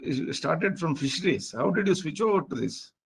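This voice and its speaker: A man's voice: a little high, lively intonation, normal speed, creaky, breathy edge. A man asking a speaker short follow-up questions on a video call, with many "yeah" and "hmm" replies. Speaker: senior colleague asking questions.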